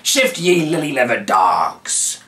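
A male narrator playing a dirty old pirate henchman who talks slow and menacing. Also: medium pitch, sing-song, sharp tone, voice pushed up, exaggerated voice.

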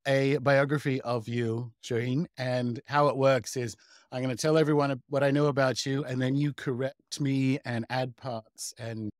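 A man's voice: mid-range voice, fairly even intonation, moderate pace, no room sound, studio quality. A man in his thirties hosting a podcast interview over a video call from home, upbeat and chatty. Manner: upbeat, chatty, laughs easily. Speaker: podcast host. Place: home room.

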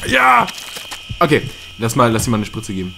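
A young man co-hosting a gaming video, bantering casually with excitable yelling and laughter. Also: medium pitch, normal speed, gravelly, a little hiss or hum. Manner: excitable, yelling and laughing, silly English asides. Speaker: gaming channel co-host. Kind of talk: casual let's-play banter. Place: small room at home, seen on webcam.

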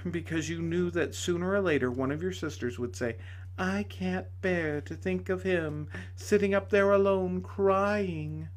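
A man reading aloud voices a kind, joyful woman who pleads softly and earnestly. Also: sits high, unhurried, voice pushed up. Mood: urgent pleading. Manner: soft, earnest, urgent pleading. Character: gentle, joyful heavenly lady, pleading.

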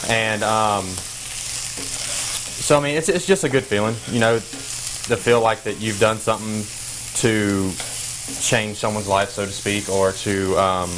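A young firefighter and paramedic chatting easily while cooking on a TV studio kitchen set. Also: male voice, fairly low pitch, fairly even intonation, a little slow, pauses often. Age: young adult. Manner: easygoing, full of fillers, talks while stirring a pan. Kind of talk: casual chat while cooking. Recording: clear background noise, sizzling pan.

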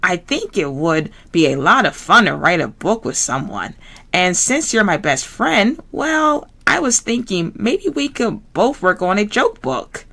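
A woman's voice: mid-range voice, big pitch swings, a little slow, gravelly, a bit bright, full character voice. A woman reading a storybook aloud and voicing a friendly, needy male bear who pleads eagerly. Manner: eager, begging.

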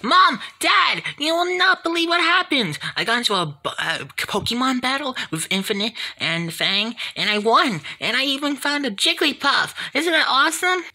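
Woman as an excitable high-voiced child hero. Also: moderate pace, few pauses, heavily acted. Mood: excited.

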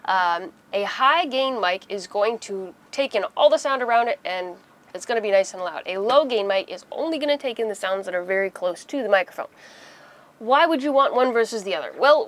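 A woman in her thirties giving a casual, conversational how-to explanation inside a small boat cabin, using everyday examples. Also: medium pitch. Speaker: host of a sailing how-to video.

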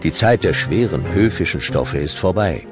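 A male documentary narrator with a very low voice and animated intonation. He reads scripted history in measured, dramatic short sentences while music plays behind him.

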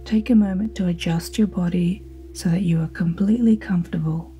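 A woman guiding a sleep hypnosis in a slow, soothing, soft-spoken manner with breathy phrase endings. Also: lowish voice. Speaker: hypnosis guide. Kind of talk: guided sleep hypnosis narration. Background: ambient music with singing bowl tones.

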